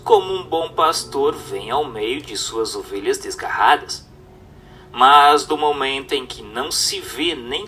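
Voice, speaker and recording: A man's voice: a little high, a bit bright, far from the mic. A man narrating a scripted text in a formal, didactic way with emphatic phrasing. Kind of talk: scripted reading of a spiritist text, audiobook-like.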